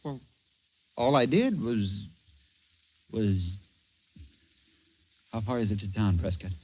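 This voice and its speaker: An actor playing a proud, commanding, exasperated rocket captain from Earth in an old-time radio drama. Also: male voice, fairly low pitch, lively intonation, slow, darkish tone, husky, heavily acted. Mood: earnest, baffled. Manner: commanding, emphatic. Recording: muffled, noisy old radio recording.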